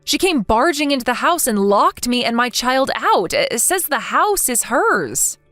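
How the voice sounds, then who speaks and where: A woman playing a wronged mother in her forties in a dramatized story, earnest and aggrieved but measured. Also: on the high side, very animated, a bit bright, dry recording, faint music behind. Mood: worried, polite. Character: wronged mother over forty, indignant yet polite.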